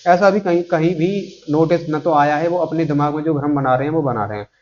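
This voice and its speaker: A man with a slightly high voice talks informally to his online viewers. He rambles through his explanation, repeating little check-in tags, and the recording is dull and lo-fi. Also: fast, a little mellow. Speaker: YouTube host giving job exam updates. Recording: dull lo-fi microphone sound.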